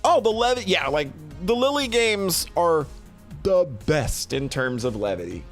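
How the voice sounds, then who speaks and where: Higher-voiced man, casual streamer with music behind. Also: a little slow. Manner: expressive, effusive, swears casually. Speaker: streamer reviewing games. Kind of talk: casual rambling commentary to live chat.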